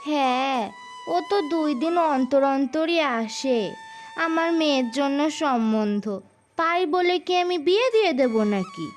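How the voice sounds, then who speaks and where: A woman voicing a proud, doting, sharp-tongued village mother in a moral-story cartoon, with an emphatic manner. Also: sits high, lively intonation, measured pace, soft background music. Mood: haughty, scornful.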